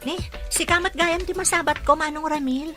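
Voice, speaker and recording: A woman's voice: high voice, steady tone, normal speed, pushed and bright, faint music behind. A woman with a Filipino accent playing a friendly, encouraging young matchmaker in an Ilocano audio drama. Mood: curious.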